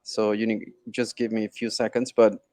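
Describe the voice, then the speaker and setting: A man's voice: on the low side, flat delivery, moderate pace, darkish tone, dry recording, clean studio sound. A man being interviewed on a video call, talking casually and often checking in with little tag questions. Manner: informal, often checking with "right?" and "you know?". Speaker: interview guest, tech entrepreneur.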